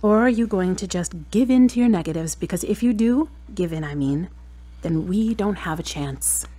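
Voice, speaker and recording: A woman's voice: fairly low pitch, animated, moderate pace, warm tone. A woman playing a frank, exacting girlfriend in an audio drama, wry and challenging, with pointed questions. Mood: wry, probing.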